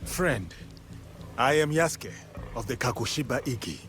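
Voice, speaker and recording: An actor playing an honorable, composed samurai warrior in a video game, speaking formally and earnestly. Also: male voice, mid-range voice, animated, moderate pace, fairly bright tone, faint music behind. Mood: calm. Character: steadfast, honorable male samurai warrior, calm and loyal.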